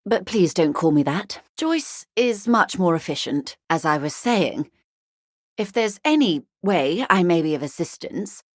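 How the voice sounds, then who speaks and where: A woman's voice: lowish voice, animated, measured pace, no room sound, studio quality. A woman playing a poised, wealthy, shrewd corporate negotiator in a video game, speaking formally and with polite, guarded care. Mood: polite, composed. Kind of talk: video game character dialogue.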